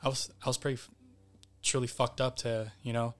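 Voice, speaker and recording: A man's voice: mid-range voice, flat delivery, brisk. A man, an actor and podcast guest, talking casually with many fillers and restarts.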